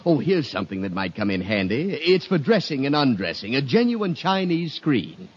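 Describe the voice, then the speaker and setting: Fussy, swooping-voiced shopkeeper. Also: male voice, mid-range voice, very animated, normal speed, husky. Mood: musing, salesmanlike. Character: fussy, polite male shopkeeper haggling over secondhand goods. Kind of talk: 1950s radio comedy acting. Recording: muffled, noisy 1950 radio recording.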